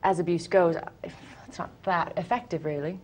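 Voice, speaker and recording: A woman's voice: fairly low pitch, steady tone, slight background noise. A woman co-hosting a live poker show, chatting with her partner in a playful, teasing way. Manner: playful, teasing, quick to laugh.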